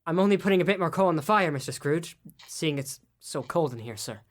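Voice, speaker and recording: A man's voice: on the high side, animated, fairly quick, natural voice. An actor playing a meek, put-upon clerk who speaks apologetically and deferentially to his employer. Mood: timid.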